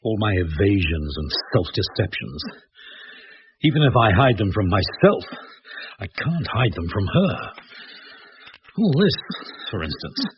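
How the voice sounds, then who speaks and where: A man's voice: fairly low pitch, big pitch swings, a little slow, pauses often. An actor playing an earnest, self-questioning man in a radio drama. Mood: troubled. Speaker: troubled host of the house. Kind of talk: British radio drama acting. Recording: muffled old radio broadcast with faint hiss.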